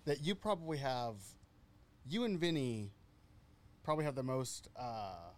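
A man co-hosting a casual chat, ribbing a guest and quickly correcting himself. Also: mid-range voice, big pitch swings, a little mellow. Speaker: podcast co-host.

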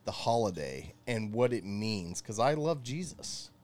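A man's voice: fairly low pitch, sing-song, unhurried, a little mellow. A man chatting as a regular guest on a radio show, dry and joking. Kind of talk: casual studio banter.

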